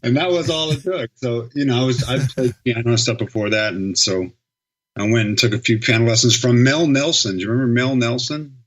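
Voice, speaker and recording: A man's voice: mid-range voice, fairly quick. A middle-aged man from Sacramento, a guest musician rambling through stories in a casual interview, correcting himself as he goes. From Sacramento, California. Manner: rambling, self-correcting storyteller, laughs easily. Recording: slightly dull, lo-fi sound.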